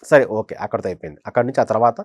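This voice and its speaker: A man's voice: fairly low pitch, rapid-fire, dry recording, studio quality. A man giving conversational political commentary as a journalist, emphatically repeating phrases for stress. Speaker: senior journalist, political analyst.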